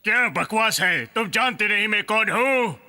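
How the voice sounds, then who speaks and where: Haughty cartoon pharaoh: pompous, high and very sharp, long reverb. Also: male voice, normal speed, heavily acted. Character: haughty male pharaoh in a cartoon, self-important. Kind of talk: Hindi cartoon dub acting. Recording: long reverb with music behind.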